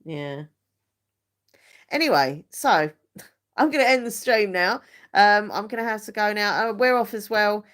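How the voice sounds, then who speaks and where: A woman's voice: mid-range voice, a little slow, long pauses, a bit bright. A woman in her forties hosting a livestream, chatting casually, laughing often and trailing off mid-sentence. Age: 40s. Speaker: livestream host. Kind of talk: casual chat.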